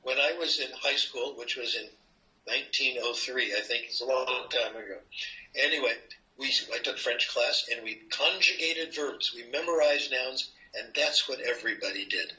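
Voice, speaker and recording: A man's voice: mid-range voice, moderate pace. An older man with an American accent, a linguistics professor explaining his ideas in a playful, teaching way to an interviewer. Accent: American English. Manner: playful, lively teaching tone with asides. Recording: dull lo-fi recording.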